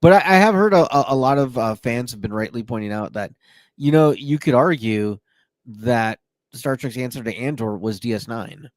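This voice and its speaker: A man hosting a podcast, relaxed and a bit jokey, running through news with many fillers. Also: mid-range voice, normal speed, no echo. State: a little woozy. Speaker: podcast host. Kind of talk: casual chat, reading news.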